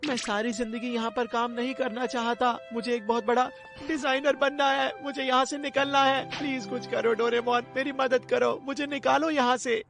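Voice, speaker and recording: A woman voicing an eager, ambitious young boy shopkeeper in a cartoon dub, excitable and easily flustered. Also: sits high, normal speed, vocal fry, music in the background. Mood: pleading.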